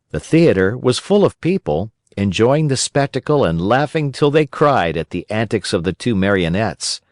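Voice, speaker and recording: A man narrating a children's storybook in a gentle, expressive storytelling manner. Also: on the low side, dry recording, clean studio sound. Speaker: audiobook narrator.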